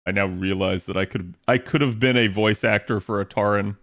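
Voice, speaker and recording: A man's voice: on the low side, a little fast, fairly bright tone. Laid-back man streaming a game. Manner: laid-back, slangy, laughs at himself. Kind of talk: casual gaming stream chat.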